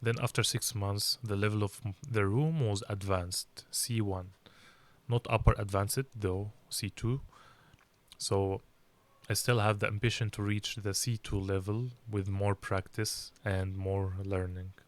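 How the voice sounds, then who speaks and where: A young Egyptian man, whose first language is Arabic, speaks clear, careful English with an Egyptian accent. His voice sits a little low, and he talks in a measured, reflective way while sharing his experiences for learners. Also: flat delivery. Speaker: English learning channel host. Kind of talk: personal story for language learners.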